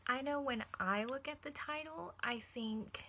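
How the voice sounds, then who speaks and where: Bright-voiced woman reading aloud to children. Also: sits high, measured pace, a little hiss or hum. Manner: encouraging, addresses children directly with simple explanations. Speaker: teacher reading a picture book aloud to children. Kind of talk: read-aloud lesson with questions to young listeners.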